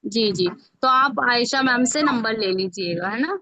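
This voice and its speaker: A woman's voice: fairly high pitch, expressive, a little slow, bright, forceful tone, no room sound. A woman instructor giving an informal live online tutorial, explaining step by step and often checking that learners follow. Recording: dull lo-fi online call audio.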